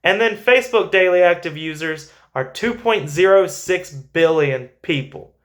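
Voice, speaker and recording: A man's voice: high-pitched, lively intonation, unhurried, fairly bright tone. A man hosting a finance show, giving informal, emphatic stock commentary and repeating phrases for stress.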